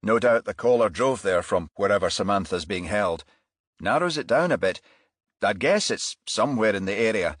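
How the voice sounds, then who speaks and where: A man voicing a brisk, irritable detective in charge, clipped and commanding, in a crime audiobook. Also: fairly low pitch, animated, fairly bright tone, dry recording, clean studio sound. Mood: purposeful.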